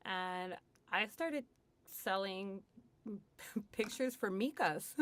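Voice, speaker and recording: A woman's voice: mid-range voice, expressive, very slow. A woman from Hollywood, a guest in a radio studio, telling her story candidly and casually, with lots of "like" and "you know.". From Hollywood, USA. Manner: chatty, lots of "like" and "you know", laughs often. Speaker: guest in recovery.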